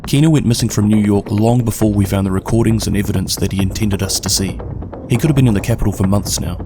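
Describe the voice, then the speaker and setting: A man with a New Zealand accent narrates game lore as the host of a video. His voice sits on the low side. Music plays prominently behind his scripted delivery. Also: quick pace. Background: prominent background music.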